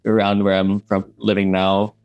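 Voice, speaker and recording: A man's voice: lowish voice, monotone, moderate pace, clear, resonant tone, dull audio, soft background music. A young man in his mid-twenties co-hosting a casual podcast, talking hesitantly with lots of fillers and frequent laughs. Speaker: podcast co-host.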